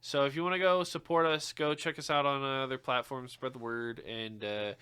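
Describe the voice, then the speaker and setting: A man's voice: fairly even intonation, a little fast, pushed and bright, clean tone. A young man co-hosting a podcast in casual, profane chat, joking and swearing. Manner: swearing, joking, with sudden high-pitched outbursts. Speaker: podcast co-host.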